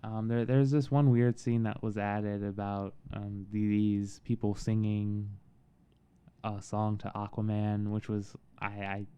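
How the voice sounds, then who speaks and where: A man giving a casual, halting film review in a soothing voice, with frequent pauses and restarts. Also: fairly low pitch, level tone, warm tone, smooth. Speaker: movie reviewer.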